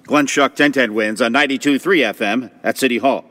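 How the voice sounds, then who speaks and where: A man's voice: mid-range voice, fairly quick, a bit bright. A man with an American accent giving a scripted report as a radio news reporter.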